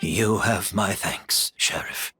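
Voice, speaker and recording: Slow, remorseful gentleman, bright-voiced. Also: deep voice, faint music behind, heavily acted. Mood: resigned, courteous. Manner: measured, formal, earnest. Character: remorseful, courteous, ailing middle-aged gentleman. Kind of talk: English game dub dramatic acting.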